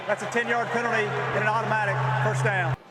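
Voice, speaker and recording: A man's voice: high voice, little pitch movement, fast. A man making formal, clipped referee announcements over a stadium public address system. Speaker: football referee. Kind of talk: formal penalty and review announcements. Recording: loud crowd noise over stadium PA. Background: loud crowd.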